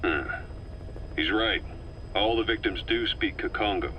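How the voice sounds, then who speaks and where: An actor playing a serious, commanding military officer in a video game, speaking tersely. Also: male voice, lowish voice, measured pace, sharp tone, slight background noise. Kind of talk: dramatic video game dialogue.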